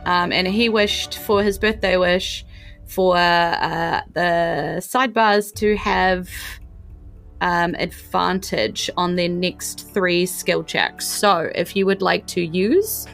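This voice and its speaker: A woman's voice: on the low side, fairly even intonation, slow pace, gravelly, a bit bright, faint music behind. A woman with a New Zealand accent narrating a tabletop game as game master, casual and rambling.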